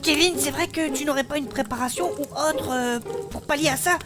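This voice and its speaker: A woman's voice: high-pitched, a little fast, close to an everyday voice. A woman voicing a bossy, sensible female adventurer in an audio fiction. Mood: scolding. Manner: scolding, bossy.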